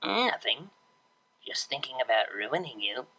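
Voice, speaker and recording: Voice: mid-range voice, sing-song, measured pace, bright, forceful tone, breathy edge, heavily acted, rough recording. A narrator doing a cocky, teasing unicorn DJ mare. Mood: sly. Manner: casual, teasing, drawling.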